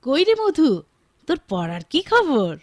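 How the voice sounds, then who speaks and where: A young mother from a village, caring yet gently strict, for radio drama. Her Bangladeshi-accented voice is rather high and very animated. It is recorded dry and close. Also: unhurried, dry recording, heavily acted. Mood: playfully strict. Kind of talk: Bengali radio drama acting.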